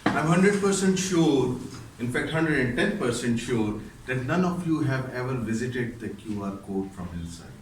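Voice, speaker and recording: A man's voice: medium pitch, animated, normal speed. A man telling a tall tale as a prepared speech to an audience. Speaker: contest speaker. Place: echoey room at a speech contest. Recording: roomy echo, distant mic, faint noise.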